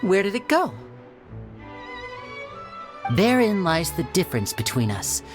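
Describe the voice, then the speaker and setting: A woman voicing a suave, courteous young male stage magician in a video game, with a measured, showmanlike and politely teasing manner. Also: fairly low pitch, big pitch swings, music in the background. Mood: poised, showmanlike.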